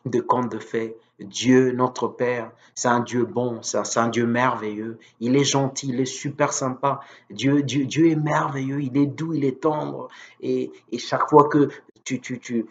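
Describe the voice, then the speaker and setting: A man who is a native Lingala speaker preaches informally on video, earnest and devout, hesitating and repeating words as he goes. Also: medium pitch. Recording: dull, lo-fi sound.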